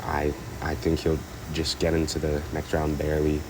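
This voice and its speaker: Very deep-voiced congested man rambling. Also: monotone, normal speed, noisy background. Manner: rambling, hesitant, many fillers and restarts. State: congested, stuffed up. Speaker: racing commentator. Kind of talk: casual race recap.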